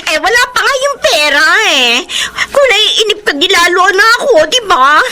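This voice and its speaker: An actress playing a greedy, two-timing mistress in a Filipino radio drama, whiny and melodramatic. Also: female voice, extremely high pitch, expressive, normal speed, pushed and bright, full character voice. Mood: nervous, placating.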